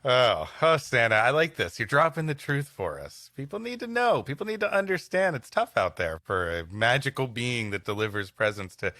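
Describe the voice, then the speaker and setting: Bright-voiced male comedy podcast host. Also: mid-range voice, sing-song, normal speed, few pauses, dry recording. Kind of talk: casual comic banter.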